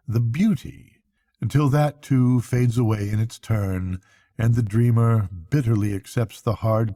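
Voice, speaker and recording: A man's voice: fairly low pitch, warm tone, no room sound. An older man reading a bedtime story aloud as a storyteller, in a narrator's voice.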